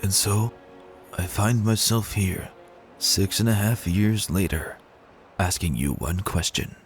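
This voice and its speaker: A man as a low-voiced, lovestruck young stallion. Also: faint music behind. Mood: hopeful, tender. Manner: earnest, heartfelt, with dramatic pauses. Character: lovestruck young male pony, earnest and tender suitor. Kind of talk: dramatic fan fiction reading.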